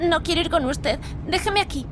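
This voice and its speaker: A very high-voiced, timid teenage girl. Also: brisk. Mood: frightened. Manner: pleading, timid. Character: frightened, timid teenage girl, a ballet student. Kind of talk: radio drama acting. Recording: noisy car ambience behind voice.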